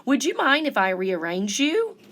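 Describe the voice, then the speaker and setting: Gentle, polite boy-crab hero for a storybook, played by a woman, earnest and very expressive. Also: sits high, moderate pace, voice pushed up, full character voice. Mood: admiring, polite. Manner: earnest, admiring, courteous.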